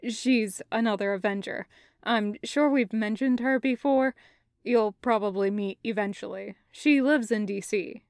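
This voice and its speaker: A woman voicing a reserved, polite man with dry humour and a soft-spoken, measured delivery in an audiobook-style story. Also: medium pitch, no echo, pitched below the performer's own voice, natural voice. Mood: amused, mild.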